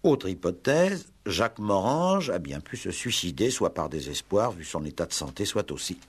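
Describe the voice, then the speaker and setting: Police inspector: lowish husky voice, polite, measured, teasing patience, vintage radio drama. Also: male voice, big pitch swings, heavily acted. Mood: reflective. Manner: polite, measured, hesitant pauses, drily amused. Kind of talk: 1960s French radio play acting.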